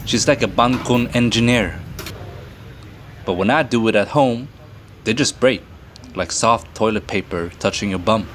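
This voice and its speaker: A man's voice: lowish voice, unhurried, long pauses, a little hiss or hum. A man from Sweden narrating a travel vlog in a playful, joking manner. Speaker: travel vlogger. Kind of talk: voice-over narration. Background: faint music.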